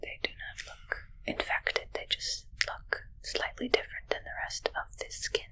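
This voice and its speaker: Lady playing nervous puzzled space medic lady, whispery asmr, real slow n halting, crisp bright breathiness. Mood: puzzled, uneasy. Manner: slow, halting, literal phrasing with repetitions. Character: puzzled, anxious female ship medic examining for aliens.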